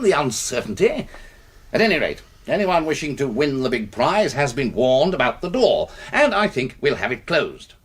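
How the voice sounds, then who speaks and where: A man's voice: medium pitch, a bit bright, full character voice. An actor playing an elderly, courteous British gamesman who shows off deadly contraptions with theatrical relish in a radio drama. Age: older.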